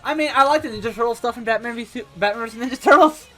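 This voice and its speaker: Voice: mid-range voice, rapid-fire, a bit bright, faint music behind. A co-host in a casual movie review chat, laughing often and restarting sentences.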